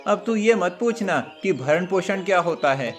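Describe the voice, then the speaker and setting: Cartoon older brother, male performer: high-pitched, teasing, patient, explanatory young prince. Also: lively intonation, moderate pace, faint music behind. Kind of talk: cartoon moral story acting.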